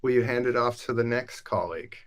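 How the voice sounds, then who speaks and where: Man, mid-pitched voice, upbeat, enthusiastic host, live webcast presenting. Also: fairly quick.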